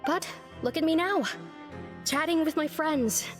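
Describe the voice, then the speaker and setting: A woman's voice: high-pitched, measured pace, soft background music. A woman voicing an earnest, friendly reformed prisoner in a video game, speaking sincerely. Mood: grateful, sincere.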